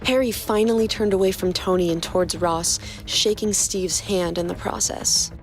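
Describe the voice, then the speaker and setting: A woman narrating a fan fiction story in a steady reading voice. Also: mid-range voice, moderate pace, faint music behind. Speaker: podcast co-host narrating fanfiction.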